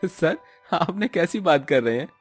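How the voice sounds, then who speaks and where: Man putting on an earnest, high-pitched young man. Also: animated, unhurried, slightly rough, no room sound. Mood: shocked. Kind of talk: Hindi cartoon story acting. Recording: music bed behind the voice.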